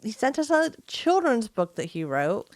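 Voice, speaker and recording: A woman's voice: fairly high pitch, sing-song, normal speed, no echo. A woman co-hosting a podcast in casual, chatty conversation, sometimes reading aloud. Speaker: podcast co-host. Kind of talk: casual chat, reading listener mail aloud.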